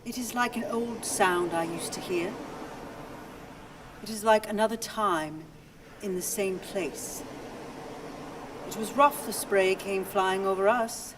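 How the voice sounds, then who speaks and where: A woman performs a wistful older wife in a radio drama, calm and detached. Her mid-pitched voice is measured, heard from off the mic amid noisy ambience. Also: far from the mic, natural voice. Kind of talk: radio play acting.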